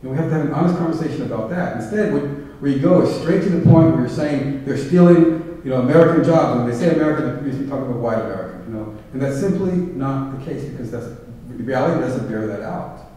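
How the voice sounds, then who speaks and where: Male speaker, mellow voice, long echo, caught from the back of a big hall. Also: mid-range voice, fast. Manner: thoughtful, many fillers and restarts. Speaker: guest speaker. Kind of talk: public talk with audience questions. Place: large hall with round tables. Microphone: podium mic, filmed from far back. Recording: echoey hall from far off.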